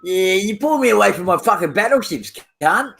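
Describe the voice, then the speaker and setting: A high-pitched Australian man with a gravelly, husky voice. He teases crudely and swears constantly in casual chat. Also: animated, moderate pace, bright, forceful tone. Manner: crude, teasing, heavy swearing.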